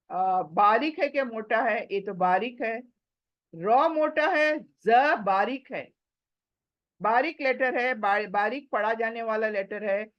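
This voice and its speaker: A woman teaching Quranic recitation, sounding out letters over and over and explaining them step by step, with English phrases mixed in. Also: fairly high pitch. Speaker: tajweed teacher. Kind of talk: slow explanatory recitation lesson. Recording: dull lo-fi recording.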